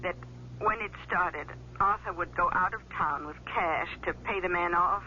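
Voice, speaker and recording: An actress playing an anxious middle-aged mother, halting and earnest, in a 1970s radio drama. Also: lowish voice, bright, forceful tone, dull audio. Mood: anxious, confiding. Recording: thin, noisy old radio broadcast.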